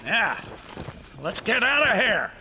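An actor playing a rough, plain-spoken miner in a radio horror drama, gruff and wary. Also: male voice, high voice, very animated, slow pace, heavily acted. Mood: uneasy, baffled. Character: rough, uneasy hired miner, a man. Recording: noisy ambience around the voice.

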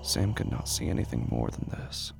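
A man narrating a horror audio drama in a slow, hushed storytelling manner. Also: very noisy.

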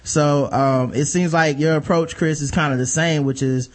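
A man hosting a podcast, talking in a laid-back, rambling way with lots of fillers and a high-pitched voice. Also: fairly even intonation, normal speed. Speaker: podcast host. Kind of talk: casual podcast chat.

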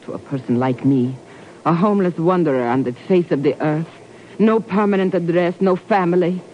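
A woman's voice: fairly low pitch, natural voice. Woman as German refugee, radio drama, guarded, world-weary, formal, dull noisy old recording. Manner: measured, formal, careful phrasing. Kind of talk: 1950s radio drama acting.

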